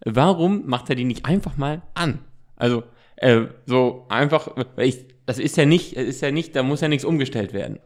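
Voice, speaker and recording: A man's voice: mid-range voice, animated, moderate pace. A man co-hosting a casual podcast, giving opinions in a rambling way with many broken-off sentences. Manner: rambling, breaks off and restarts sentences. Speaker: podcast co-host.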